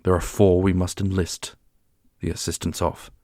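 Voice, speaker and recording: A man playing a solemn, strategic warrior leader in a fantasy audio roleplay, speaking in a formal, measured way. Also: low-pitched, little pitch movement, dark tone, no echo, studio quality, close to an everyday voice. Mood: measured, planning.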